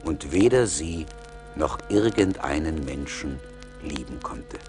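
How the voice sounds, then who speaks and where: A man's voice: very deep voice, animated, slow pace, darkish tone, faint music behind. A man narrating a fairy tale as a scripted storyteller in a radio play.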